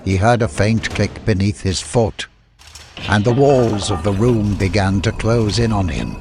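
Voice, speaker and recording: A man narrates fantasy adventure fiction in a fairly low voice. The reading is scripted and dramatic, in audiobook style. A slight noise sits behind the voice. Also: animated, unhurried.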